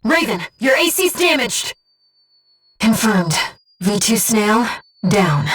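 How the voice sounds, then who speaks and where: A woman playing a gentle, disembodied female mission operator in a video game, earnest and composed, with clearly enunciated tactical callouts. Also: a little high, very animated, slow pace, recorded from a distance, heavily acted. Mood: urgent, alarmed. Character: gentle disembodied female voice guiding a mercenary pilot.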